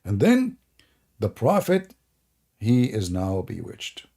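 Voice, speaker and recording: A man's voice: on the low side, wildly swooping pitch, slow pace, mellow. A man whose first language is Arabic, hosting sarcastic religious commentary in a mocking, theatrical way. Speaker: host.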